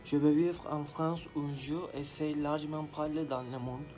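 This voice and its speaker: A man's voice: a little high, level tone, unhurried. A young man, a native Turkish speaker, steadily reciting a prepared answer as a language student. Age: young adult. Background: soft background music.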